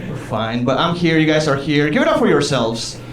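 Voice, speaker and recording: A man from Chile performs live stand-up comedy, talking conversationally with pauses and bursts of exclamation. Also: fairly high pitch, sing-song, crowd noise. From southern Chile. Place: small comedy theater stage, indoors. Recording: roomy live club sound, distant, audience. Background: audience laughter.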